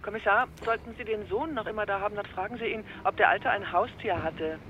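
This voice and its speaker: An actor playing a blunt crime-scene investigator reporting over a phone or radio link in a radio crime drama. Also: male voice, high voice, brisk, highs cut off, heavy background noise. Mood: matter-of-fact. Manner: blunt, matter-of-fact. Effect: radio or phone filter.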